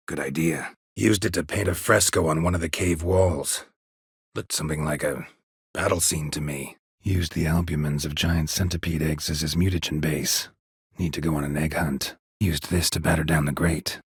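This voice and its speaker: An actor playing a gruff, weary, stoic monster hunter in a video game, a gravelly voice and a terse, deadpan delivery. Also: male voice, no echo, full character voice. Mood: dry, terse. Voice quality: husky, gravelly low voice. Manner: terse, clipped, deadpan, dry. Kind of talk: video game character dialogue.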